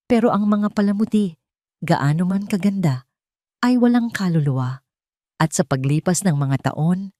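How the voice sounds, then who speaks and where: A woman narrates a scripted romantic audio drama. Her voice sits fairly low and her delivery is measured and dramatic, recorded very dry. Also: a little mellow, no room sound.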